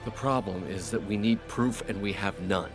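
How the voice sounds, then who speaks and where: A man's voice: lowish voice, moderate pace, music in the background, lightly acted. A man playing a sober, worried investigator in a fantasy game, grave and matter-of-fact. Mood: grave worry.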